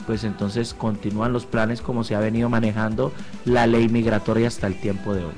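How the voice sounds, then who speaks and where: A man's voice: on the low side, little pitch movement, fairly quick, a little mellow, faint music behind. A man discussing news on live radio in an explanatory way, repeating key words for emphasis. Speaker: radio commentator.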